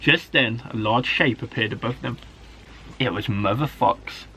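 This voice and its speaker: A man, a primary school teacher, reading a children's story aloud in an expressive, dramatic way. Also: mid-range voice, unhurried, slightly rough. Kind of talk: animated children's story narration.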